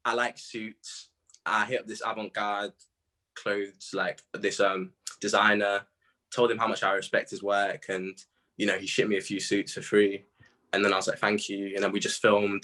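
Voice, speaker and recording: A young British man in a casual interview, telling stories in slangy, animated speech full of quoted asides. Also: lowish voice. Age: young adult. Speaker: music artist being interviewed.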